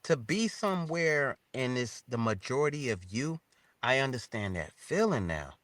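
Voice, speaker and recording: A man's voice: medium pitch, very animated, normal speed, fairly bright tone, dry recording. A man in his fifties with an American accent, telling his story casually as an interview guest in informal, colloquial phrasing. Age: 50s.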